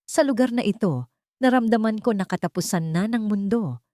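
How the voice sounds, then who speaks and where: A woman's voice: mid-range voice, animated, moderate pace, mellow, no echo, studio quality, pitched above the performer's own voice, close to an everyday voice. A woman voicing a resolute, hopeful young mother in a dramatic story, speaking in firm, solemn declarations. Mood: impassioned, resolute. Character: resolute young mother, once victim, now hopeful leader.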